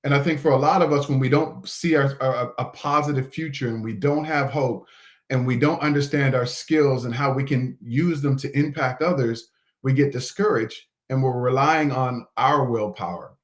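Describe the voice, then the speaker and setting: A man's voice: mid-range voice, moderate pace. A man in his forties from Nova Scotia, a coach speaking earnestly as a podcast guest. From Nova Scotia, Canada. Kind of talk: motivational interview talk.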